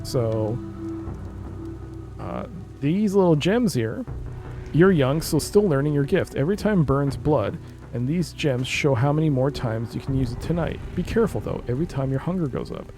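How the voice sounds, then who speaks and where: A man with a mid-pitched voice talks through a video game in a casual, explanatory way. He moves between informal chat and reading game text aloud, with music clearly playing behind him. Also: animated, normal speed, dark tone. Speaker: gaming video creator.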